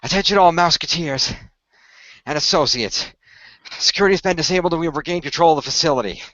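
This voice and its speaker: A man playing a calm, technically minded team leader in an amateur radio play, speaking in a measured, authoritative, explanatory way. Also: fairly high pitch, highs cut off, pitched above the performer's own voice, close to an everyday voice. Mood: commanding.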